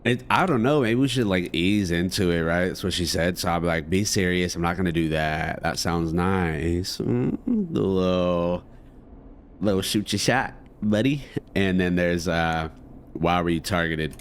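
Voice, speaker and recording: A man's voice: low-pitched, measured pace, a little hiss or hum. A man giving casual playthrough commentary on a game, slangy and joking, often muttering to himself. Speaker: gamer reacting to a game.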